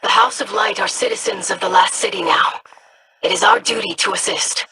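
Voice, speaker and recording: An actress voicing a poised, sharp-tongued female noble ally in a video game, with dry, formal wit. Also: medium pitch, brisk, slight background noise, lightly acted. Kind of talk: video game character dialogue.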